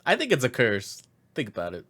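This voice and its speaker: A man co-hosting a show in casual chat, joking and quick to laugh. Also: mid-range voice, wildly swooping pitch, sharp tone, husky. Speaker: co-host of a pop culture show.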